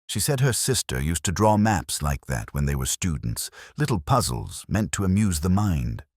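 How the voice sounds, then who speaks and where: Male narrator for detective fiction: extremely low register, expressive swings in pitch, solemn and measured, dry studio sound. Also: slightly rough, no room sound. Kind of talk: scripted first-person literary detective narration.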